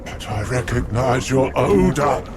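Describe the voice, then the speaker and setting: An actor playing a blind, scheming, very old male temple elder in a video game, speaking slowly with a creaky voice and a pleading manner. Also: mid-range voice, animated, close to an everyday voice. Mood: menacing. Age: older. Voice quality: creaky, gravelly. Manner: slow, drawn-out, pleading. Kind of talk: video game cutscene acting. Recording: noisy game soundtrack.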